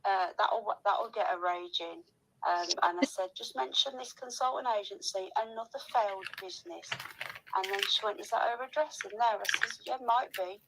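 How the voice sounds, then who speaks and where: Woman, mid-pitched, heavy vocal fry, casual gossip, sweary, complaining. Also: normal speed, a bit bright, a little hiss or hum, low-quality recording. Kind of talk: casual chat, recounting gossip.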